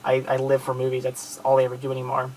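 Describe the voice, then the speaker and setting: A man's voice: medium pitch, completely flat delivery, fast, a little mellow, slight background noise, poor audio. A man in his late twenties from outside Philadelphia, talking casually to camera about his movie collection, rambling with many fillers and restarts in a friendly, grateful way. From outside Philadelphia, Pennsylvania.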